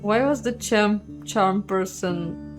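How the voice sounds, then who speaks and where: A woman's voice: medium pitch, takes it slowly, over music. A woman playing through a story game, reading it out and commenting casually as she goes. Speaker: video game streamer and narrator.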